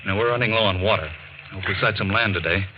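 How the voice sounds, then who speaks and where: A male actor plays a stern, level-headed castaway in an old radio drama. He talks quickly in a fairly low voice. The vintage recording is muffled. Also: background noise, lightly acted. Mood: brisk, practical. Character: stern, practical male chemist stranded among castaways. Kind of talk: 1940s radio acting.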